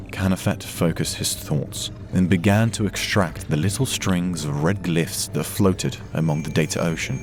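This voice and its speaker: A man narrating a dramatic science fiction story from a script in a measured, solemn way. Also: deep voice. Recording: music behind the narration.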